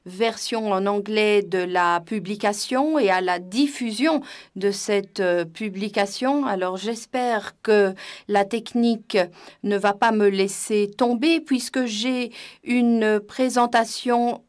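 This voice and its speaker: Slow, hesitant woman interpreting a webinar. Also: mid-range voice. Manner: hesitant, frequent filler pauses. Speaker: conference interpreter.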